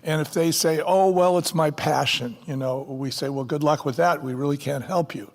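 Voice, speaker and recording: An older man, a company co-founder, answering interview questions in a folksy, animated way and acting out quotes. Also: on the high side, moderate pace. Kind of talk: fireside chat interview answers. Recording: long hall reverb.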